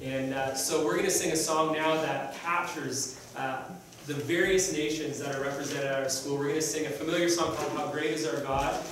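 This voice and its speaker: A man introduces a school choir to an audience, earnest and plain, with small fillers. His voice sits a bit high. The recording is distant, in a big echoing hall, with steady noise underneath. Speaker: choir director. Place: large bright hall with an audience.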